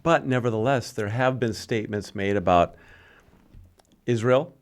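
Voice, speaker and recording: A man's voice: mid-range voice, moderate pace. A man co-hosting a podcast, giving conversational commentary on current affairs. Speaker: podcast co-host.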